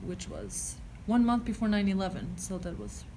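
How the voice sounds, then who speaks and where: A woman's voice: mid-range voice, normal speed, mellow, a little hiss or hum. A woman from Albania, a student giving plain, matter-of-fact interview answers.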